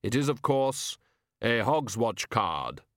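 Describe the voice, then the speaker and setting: A man voicing Death, a grave figure who speaks slowly and formally. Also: medium pitch, big pitch swings, fairly bright tone, dry recording, studio quality. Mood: solemn. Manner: slow, measured, formal. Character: Death, grave solemn skeletal male figure.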